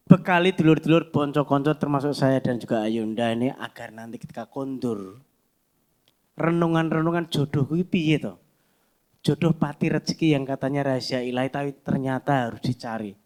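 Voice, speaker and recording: A man's voice: medium pitch, normal speed, darkish tone. A man in his fifties with a Javanese accent, hosting and teasing the crowd playfully. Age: 50s. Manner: teasing, playful, mixes in local words. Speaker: host. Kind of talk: joking emcee banter.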